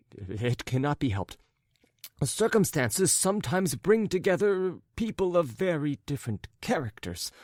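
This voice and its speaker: A man voicing a muddled, apologetic middle-aged gentleman in a story, agitated and wordy, stumbling over and correcting himself. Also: on the high side, no room sound, studio quality, voice pushed up. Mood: apologetic, nervous.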